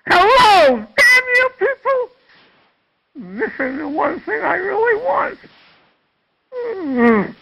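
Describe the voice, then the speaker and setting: A woman's voice: extremely high pitch, big pitch swings, slow pace, gravelly, pauses often, bright, forceful tone, poor audio. A woman in a distressed outburst, upset and close to crying.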